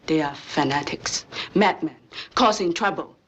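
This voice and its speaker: An actress playing a cool, stern go-between for a crime boss in an old film, husky breathy voice and clipped, formal broken English. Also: female voice, low voice, unhurried, muffled recording. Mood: grave, guarded.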